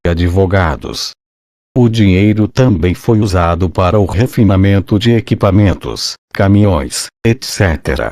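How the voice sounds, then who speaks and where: A man's voice: on the low side, darkish tone, no room sound, clean studio sound. A man with a Brazilian accent reading scripted documentary narration for a video channel.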